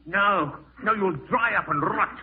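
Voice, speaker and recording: Villainous schemer, tinny old radio. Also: male voice, sits high, unhurried. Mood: menacing. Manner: menacing, melodramatic, muttering to himself. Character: cruel scheming man gloating, then frantic with panic. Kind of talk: 1940s radio horror acting. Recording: tinny, band-limited 1940s radio recording.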